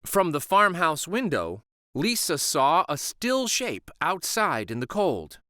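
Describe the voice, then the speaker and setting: A man reading a picture book aloud as a children's story host. His telling is slow and soothing, with a pitch that rises and falls lively and a bright tone. The sound is close and dry. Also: no echo. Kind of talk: storybook read-aloud narration.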